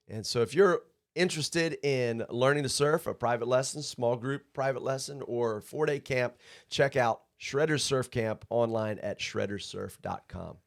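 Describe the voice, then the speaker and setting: An upbeat man hosts a relaxed surf podcast from a studio. He is chatty and laughs often, and his voice sits at an ordinary middle pitch. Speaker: podcast host. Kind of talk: casual surf podcast interview.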